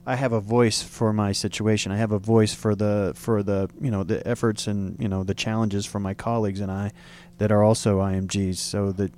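A man's voice: on the low side, level tone, moderate pace, hardly any pauses, a little mellow, a little hiss or hum. A man hosting an interview, talking at length with many fillers and restating his guest's points. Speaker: radio show host. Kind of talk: interview talk.

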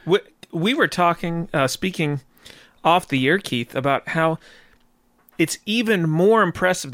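A man's voice: a little high, fairly bright tone, dry recording. Upbeat male radio host, dry studio sound. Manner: upbeat, promotional. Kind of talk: radio interview.

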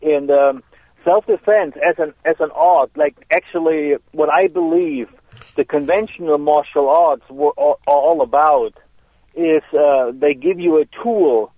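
A man's voice: a little high, measured pace, no echo. A man with a German accent, a self-defense instructor, explaining in casual chat with hesitant restarts. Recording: tinny phone line.

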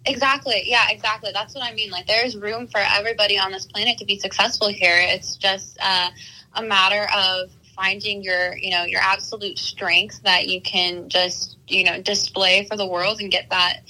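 A woman's voice: medium pitch, steady tone, moderate pace, sharp tone, poor audio. A woman calling in to a talk show who speaks hesitantly and eagerly, with many fillers and restarts. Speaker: caller. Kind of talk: casual chat.

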